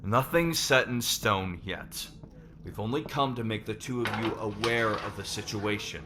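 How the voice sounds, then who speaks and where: A man playing a stern, businesslike lord on a company board, firm and measured, in an ASMR roleplay. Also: on the low side, noisy background, lightly acted. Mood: grave, firm. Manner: measured, firm, matter-of-fact.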